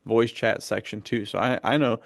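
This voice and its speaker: A man's voice: medium pitch, fairly even intonation, normal speed, creaky, no echo, clean studio sound. A man co-hosting a podcast in casual, slangy, bro-ish chat. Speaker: podcast co-host.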